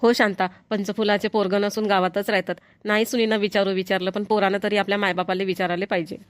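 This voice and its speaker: A medium-pitched, fast-speaking elderly village mother-in-law, acted by a woman in a homemade cartoon comedy. She is kindly and grateful, with a Varhadi Marathi accent. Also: little pitch movement, lightly acted. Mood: neighbourly, concerned. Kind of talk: amateur cartoon comedy voice acting.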